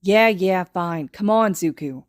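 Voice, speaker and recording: A woman voicing a brash, impatient little boy who talks in a loud, boastful, bossy way in a storybook reading. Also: lowish voice, slow pace, dry recording, clean studio sound.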